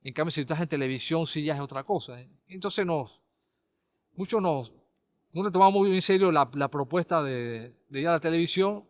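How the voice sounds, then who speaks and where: A middle-aged Panamanian man, a caricaturist and comedy writer, recalling his TV work in a relaxed, rambling way with many restarts. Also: a little high, normal speed, no room sound. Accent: Panamanian Spanish. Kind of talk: relaxed conversational storytelling.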